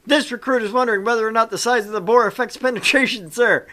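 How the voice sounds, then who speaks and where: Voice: mid-range voice, steady tone, fast, bright, forceful tone, lightly acted. A performer voicing a nervous, cheeky young male army recruit in a video game, speaking in formal soldier phrases. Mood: mock innocent.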